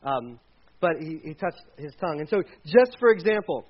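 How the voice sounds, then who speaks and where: A man's voice: a little high, big pitch swings, moderate pace, muffled recording. A man preaching a sermon with slides, talking casually, starting sentences over, and acting out the people in the scripture he retells. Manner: conversational, retells scripture with acted quotes and restarts. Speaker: pastor.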